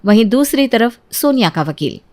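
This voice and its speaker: A woman's voice: on the high side, very animated, normal speed, a little mellow. A woman storyteller reading a scripted narration.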